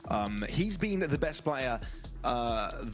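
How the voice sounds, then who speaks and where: A man doing live play-by-play commentary on an esports match, conversational and breaking into excited calls. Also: mid-range voice, slow, faint music behind.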